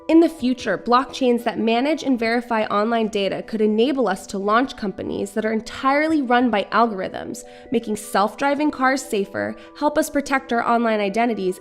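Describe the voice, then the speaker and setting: A woman reading scripted explainer narration. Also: on the high side. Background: background music.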